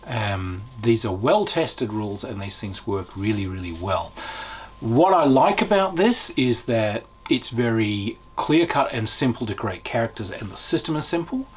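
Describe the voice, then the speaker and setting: A man with a mid-pitched, slightly husky voice talks as the host of a review video. His delivery is unscripted and conversational, with enthusiastic emphasis on the points he makes. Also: sing-song, measured pace. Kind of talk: casual unscripted review talk.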